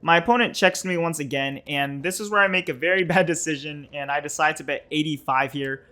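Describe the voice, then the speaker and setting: A man's voice: fairly high pitch, fairly quick, pushed and bright. A man in his twenties giving a casual, scripted poker vlog voice-over in a matter-of-fact, explanatory way. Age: 20s. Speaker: poker vlogger and host.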